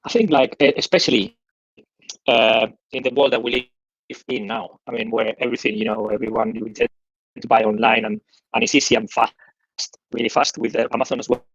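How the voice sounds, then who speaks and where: A man with a Spanish accent, a guest on a live stream, speaking haltingly while his audio sounds distorted and distant. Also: mid-range voice, fairly even intonation, vocal fry, dry recording, lo-fi sound. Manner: halting, searching for words. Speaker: guest digital marketer.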